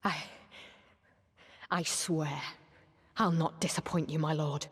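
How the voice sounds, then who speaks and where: A woman's voice: deep voice, steady tone, slow, breathy edge, full character voice. An actress playing a dutiful young female soldier in a video game, formal, earnest and deferential to her lord. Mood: awed, nervous. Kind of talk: dramatic video game cutscene acting. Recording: long hall reverb.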